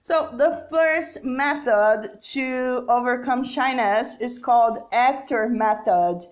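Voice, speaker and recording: A young adult woman from Brazil with a Brazilian Portuguese accent, teaching an English lesson on a live stream, slow and deliberate with many fillers and check-ins. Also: fairly high pitch, dull audio.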